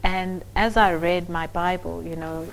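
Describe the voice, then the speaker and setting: A young woman from Zimbabwe speaks softly and unhurriedly, her voice sitting a little low. She tells her own story with frequent pauses, fillers and restarts, and a faint hiss of noise runs under the recording. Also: fairly even intonation, creaky. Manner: soft-spoken, many fillers and restarts. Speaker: church member sharing her story. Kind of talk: personal testimony.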